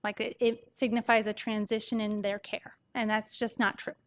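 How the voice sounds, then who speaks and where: A soft-voiced woman doctor gives an informal medical presentation to an audience, explaining things conversationally. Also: a little high, flat delivery, normal speed. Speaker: palliative care doctor presenting.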